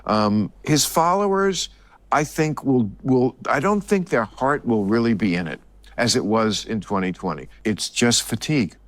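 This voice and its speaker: Emphatic man with lively, swooping delivery. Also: medium pitch, a little slow, dry recording. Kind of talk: political commentary.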